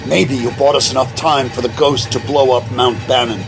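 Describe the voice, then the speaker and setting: An actor playing an excitable, wisecracking man from the district attorney's office in an old-time radio drama. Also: medium pitch, normal speed, gravelly, faint music behind, natural voice. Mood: tense.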